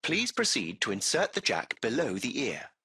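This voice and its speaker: An actor playing a composed, courteous artificial intelligence that drives a luxury taxi, speaking in formal, polite phrases for a video game. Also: male voice, medium pitch, wildly swooping pitch, normal speed, gravelly, bright, forceful tone, husky, exaggerated voice. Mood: calm, businesslike. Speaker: AI chauffeur. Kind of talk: scripted video game dialogue.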